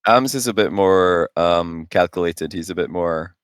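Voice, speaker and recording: Deep-voiced man in his late twenties. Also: measured pace, clear, resonant tone, dry recording, studio quality. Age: late 20s. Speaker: podcast co-host. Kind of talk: casual gaming podcast chat.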